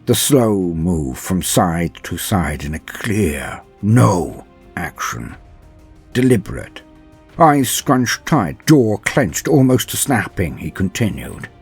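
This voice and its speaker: Grave, proud inquisitor played by a man for audio drama: deep, solemn, theatrical delivery with sweeping inflection and a husky edge. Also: big pitch swings, soft background music, exaggerated voice. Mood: awed, tense. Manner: solemn, theatrical, with deliberate pauses. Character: grave, proud inquisitor, last of a revered line, haunted. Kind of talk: dramatic first-person narration in audio drama.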